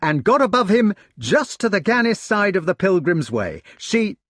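A man's voice: on the high side, very animated, moderate pace, no room sound, clean studio sound, pitched above the performer's own voice. A man voicing an eager, enthusiastic youngest brother who is a young knight. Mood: excited. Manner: breathless enthusiastic storytelling.

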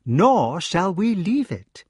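Man as icy, sneering Mordred, pitched high. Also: big pitch swings, slow pace, darkish tone, breathy edge, no echo, clean studio sound, exaggerated voice. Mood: icy, mocking. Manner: icy, sardonic, nagging. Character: Mordred, cold sneering humpbacked knight, bitter and defiant.

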